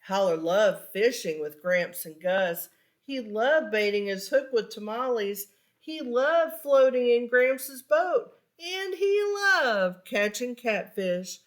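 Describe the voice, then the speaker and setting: A woman reading a children's storybook aloud with expressive narration and deliberate, animated emphasis on key words. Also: fairly high pitch. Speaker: storybook reader.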